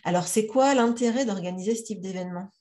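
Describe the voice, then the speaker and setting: A woman's voice: mid-range voice, fast talker, dark tone. A woman hosting a podcast, chatting casually and asking questions with many fillers. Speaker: podcast host. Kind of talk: casual interview talk.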